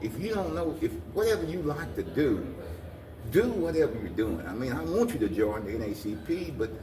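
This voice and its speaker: A man's voice: a little high, big pitch swings, a little fast, crowd noise. A man giving earnest, rambling interview answers, often repeating his phrases. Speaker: guest, community organization president. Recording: echoey, distant mic, noisy room.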